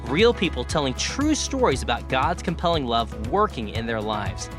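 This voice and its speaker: A man's voice: medium pitch, sing-song, normal speed, over music. A man speaking as a podcast host and interviewer, presenting and asking questions in a studio. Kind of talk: scripted podcast intro and interview. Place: recording studio.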